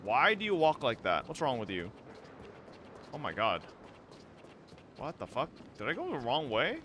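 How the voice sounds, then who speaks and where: A young man streaming a game, reacting with loud, profane, joking running commentary over game music. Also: mid-range voice, huge pitch swings, normal speed, slight background noise. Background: game music and dialogue.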